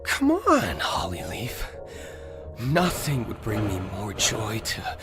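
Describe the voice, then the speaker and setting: An anguished, vengeful tomcat in an animated drama. The delivery is very slow and halting, leaping from breathy stammers to raw, high outbursts. Music plays clearly underneath. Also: male voice, exaggerated voice. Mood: despairing, goading. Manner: breathy gasps, stammering, swings into shouting. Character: bitter, anguished male warrior cat, vengeful and unravelling. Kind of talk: animated fan drama voice acting. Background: dramatic background music.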